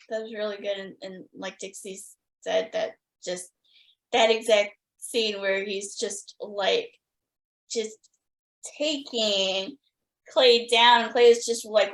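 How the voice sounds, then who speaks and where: A woman hosting a podcast interview, chatting casually and appreciatively with guests. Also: medium pitch. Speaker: podcast host. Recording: echoey room.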